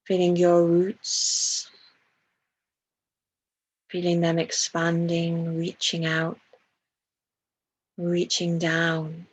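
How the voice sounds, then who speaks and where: A woman leading a guided meditation in a soft, breathy voice, soothing and hushed, with long pauses between phrases. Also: on the low side, monotone, rough recording.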